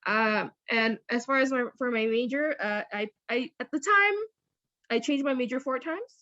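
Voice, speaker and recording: A woman who is a university counselor, answering student interview questions in a chatty, lively way and often correcting herself. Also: on the high side, normal speed, no room sound, highs cut off.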